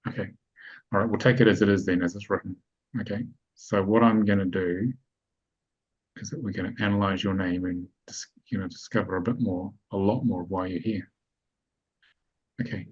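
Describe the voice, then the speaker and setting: A man's voice: low-pitched, steady tone, normal speed, long pauses, husky. A man presenting a spiritual webinar, explaining step by step and often checking that his audience follows. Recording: dull lo-fi online call audio.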